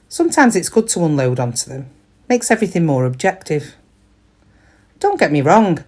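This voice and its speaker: Actress playing British woman, middle-aged shop worker, lowish animated voice, colloquial, kind, quietly weary, dramatic monologue. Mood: fond, reminiscing. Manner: confiding, wry, colloquial.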